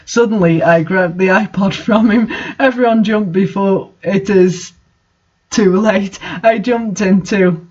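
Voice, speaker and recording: A woman's voice: medium pitch, slow, a little mellow, muffled recording. A woman reading fan fiction aloud as a dramatic narrator. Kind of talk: dramatic story reading with asides.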